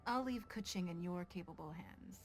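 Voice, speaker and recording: An actress playing a composed, dignified city leader in a video game, formal, gracious and measured. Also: female voice, lowish voice, faint music behind, lightly acted. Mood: concerned, gracious. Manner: formal, poised. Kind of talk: English video game voice acting.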